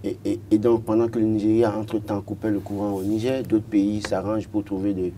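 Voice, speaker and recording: A man's voice: on the low side, flat delivery, a little fast, mellow, slight background noise. A man in his thirties from Benin with a Beninese French accent, arguing as a political commentator on a TV panel and often stuttering on repeated words.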